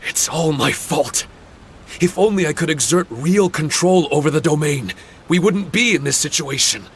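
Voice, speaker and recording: A man's voice: fairly high pitch, expressive, slight background noise, close to an everyday voice. A man voicing a small, earnest, courteous paper spirit in a video game, formal and self-blaming. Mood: remorseful. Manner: formal, measured phrasing. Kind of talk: video game cutscene acting.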